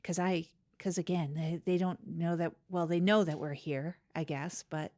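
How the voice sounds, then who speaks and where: Lower-voiced woman hosting comic podcast. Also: steady tone, normal speed, a little mellow. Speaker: podcast co-host. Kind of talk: improvised comic podcast chat.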